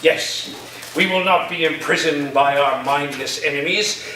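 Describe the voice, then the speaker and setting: A man playing an eccentric college professor in a staged reading, speaking in a pompous, deliberate, whimsical way with sudden outbursts. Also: fairly high pitch, pushed and bright. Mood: grandiose. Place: echoey indoor room, staged reading. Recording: roomy, distant stage recording with faint noise.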